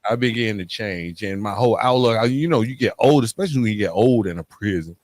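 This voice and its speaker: A man's voice: lowish voice, animated, fast talker, a little mellow. A middle-aged man hosting a podcast, talking conversationally and emphatically, often checking in with tag questions. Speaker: podcast host.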